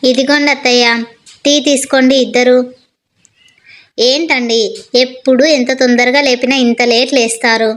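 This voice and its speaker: Woman doing a lazy sleepy young wife, whiny, bossy, highish voice, village story. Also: little pitch movement, moderate pace, long pauses, fairly bright tone. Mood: brisk, sweet. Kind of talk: acted Telugu moral story dialogue.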